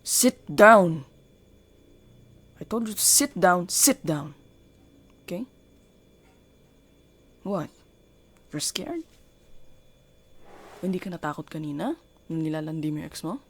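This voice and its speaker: A woman's voice: on the low side, expressive, full character voice. A woman with a Filipino accent playing a jealous, domineering girlfriend in an intimate ASMR roleplay, questioning and commanding in mixed Tagalog and English. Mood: angry, commanding. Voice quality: husky, breathy. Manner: slow deliberate questioning, commanding, switching between English and Tagalog. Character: jealous, domineering girlfriend scolding her partner.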